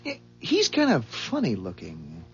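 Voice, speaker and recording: An actor playing a wary but amiable suburban husband in a 1970s radio drama, hesitant and drily skeptical. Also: male voice, fairly low pitch, wildly swooping pitch, unhurried, faint music behind, exaggerated voice. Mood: wary, bemused. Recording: dull vintage radio recording.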